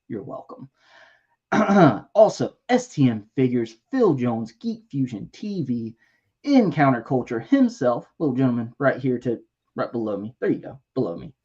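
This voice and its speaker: Husky-voiced man hosting a toy livestream. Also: medium pitch, dull audio. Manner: lively, jokey shout-outs to members. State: losing his voice. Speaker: livestream host. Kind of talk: casual livestream chat.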